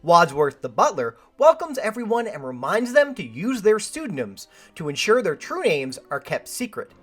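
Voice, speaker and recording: A woman's voice: mid-range voice, sing-song, normal speed, soft background music. A woman hosting a film review, reading a scripted video essay with wry, joking asides.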